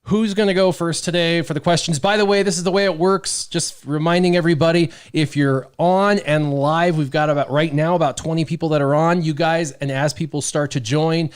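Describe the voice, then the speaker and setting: A man's voice: on the high side, steady tone, brisk, hardly any pauses, smooth. A man hosting a casual livestream, upbeat and talking straight to the viewers. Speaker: livestream host.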